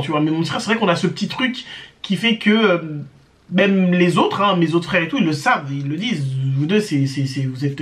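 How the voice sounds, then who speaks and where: Woman, casual storyteller to camera; hesitant, filler-heavy, conversational. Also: on the low side. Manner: hesitant, many fillers and restarts; chatty, addresses viewers directly. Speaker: storytime vlogger.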